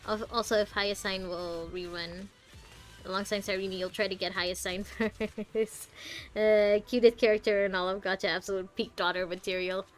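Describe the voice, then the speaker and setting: A woman's voice: medium pitch, flat delivery, moderate pace, soft background music. A woman streaming a game, chatting casually and thinking aloud in a rambling way. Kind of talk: casual chatty playthrough commentary.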